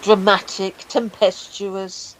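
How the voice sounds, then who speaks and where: A woman's voice: medium pitch, level tone, takes it slowly, slight background noise, rough recording. A woman chatting casually and thoughtfully about her work on a podcast. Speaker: podcast guest, sound and music designer for audio dramas. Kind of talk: casual chat.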